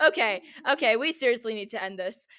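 Hoarse, giggly high-voiced woman host. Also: animated, fast, a bit bright. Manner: giggly, shrieks at friends. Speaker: podcast host. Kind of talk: chaotic casual chat.

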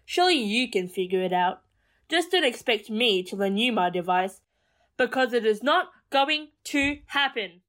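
A woman's voice: on the high side, animated, moderate pace, a bit bright. An actress playing a firm, coolly dismissive woman in an audio drama, speaking in a matter-of-fact, slightly condescending way. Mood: firm refusal.

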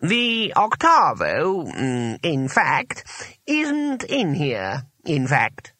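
A man's voice: high voice, very animated, sharp tone, no room sound, voice pushed up, heavily acted. A man voicing a haughty, pompous old male wizard schoolmaster in an audiobook story. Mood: reluctant, embarrassed. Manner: haughty, halting when embarrassed.

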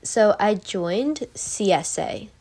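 A woman's voice: mid-range voice, expressive, slow pace, darkish tone. A teenage girl being interviewed as a podcast guest, rambling with many fillers and telling stories animatedly. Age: teens. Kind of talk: casual chat.

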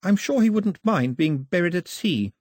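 A nervous, talkative shipwrecked girl, as a male reader puts her on, rushing out apologies in a high, lively voice. Her tone is slightly husky and very dry, with swooping pitch. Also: no room sound, full character voice. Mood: anxious. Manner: breathless apologetic rambling. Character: anxious chatty shipwrecked young girl.

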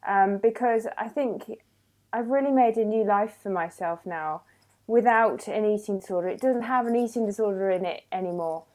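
A woman's voice: medium pitch, moderate pace, darkish tone. A woman in her thirties, a podcast guest, telling her story in a chatty way with restarts and self-corrections. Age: 30s. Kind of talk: casual interview conversation.

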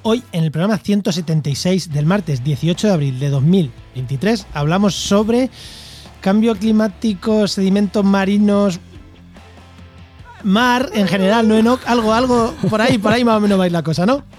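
A man's voice: high voice, normal speed, a little mellow, faint music behind. A man hosting a podcast, talking in a chatty, joking, self-deprecating way. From Castilla-La Mancha, Spain. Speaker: podcast host. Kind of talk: casual podcast chat.

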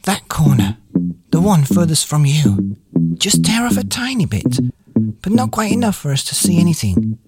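A man voicing an earnest, polite young grandson in a children's storybook, eager and hushed. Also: on the high side, very animated, normal speed, pitched above the performer's own voice. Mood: urging. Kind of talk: children's storybook character reading. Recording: music behind the voice.

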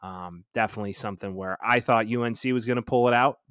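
A man's voice: fairly low pitch, fast talker, a bit bright, clean tone, no room sound, clean studio sound. An American man co-hosting a casual sports podcast, chatting with a profane, teasing edge. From Chicago, United States.

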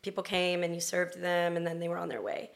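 A middle-aged American woman telling her story casually as an interview guest, chatty and halting as she gathers her thoughts. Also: fairly low pitch, little pitch movement, creaky. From Florida and Texas, then Long Beach, California. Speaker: interview guest, restaurant owner.

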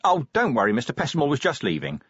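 A man's voice: medium pitch, wildly swooping pitch, fast, a bit bright, husky, no room sound, highs cut off, voice pushed up, heavily acted. A man voicing a weary, sardonic police commander in an audiobook, blunt, dry and impatient. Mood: weary, stern. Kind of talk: audiobook character acting.

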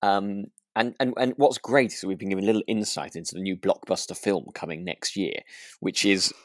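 A man co-hosting a podcast in relaxed casual chat, speaking in a hesitant, tentative, wry way. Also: sits low, brisk, no room sound, studio quality. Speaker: podcast co-host. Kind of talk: relaxed casual chat reviewing a radio drama.

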